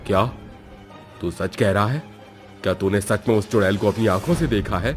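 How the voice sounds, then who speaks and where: A man's voice: on the low side, normal speed, faint music behind, lightly acted. A man voicing a concerned, resolute village headman with a grave manner in a horror story. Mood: shocked.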